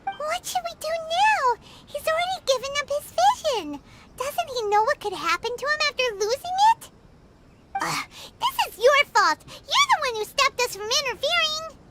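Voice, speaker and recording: A woman voicing a tiny, excitable fairy-like companion in a video game dub, chattering in quick exclamations. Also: extremely high pitch, heavy background noise. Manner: refers to herself in the third person, quick exclamations.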